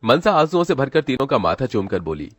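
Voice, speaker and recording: A man's voice: medium pitch, very animated, a little fast, fairly bright tone, dry recording, clean studio sound. A man narrating a scripted dramatic story as its storyteller.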